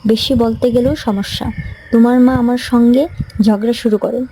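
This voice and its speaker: Woman acting a high-voiced, scheming young daughter-in-law. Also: steady tone, moderate pace, dark tone, a little hiss or hum, lightly acted, low-quality recording. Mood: defensive, irritated. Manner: complaining, self-justifying. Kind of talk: animated folk tale voice acting. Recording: music behind the voice.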